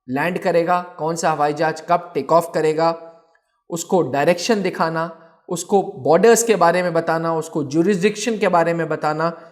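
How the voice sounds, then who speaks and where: Emphatic male instructor explaining step by step. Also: on the high side. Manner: emphatic, deliberate phrasing with repetition for stress. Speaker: instructor presenting a defence exam channel. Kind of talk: explanatory educational presentation addressed to aspirants.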